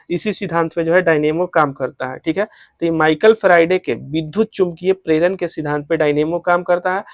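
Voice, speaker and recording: A man's voice: a little high, no echo, studio quality. A man with a Bihari accent teaching exam questions as a tutor, repeating each question and answer and mixing in English terms.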